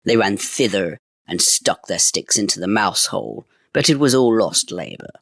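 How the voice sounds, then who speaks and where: A man's voice: lowish voice, lively intonation, normal speed, creaky, fairly bright tone, husky, no room sound, studio quality. A man reading a fairy tale aloud in a dramatic, old-fashioned storytelling manner.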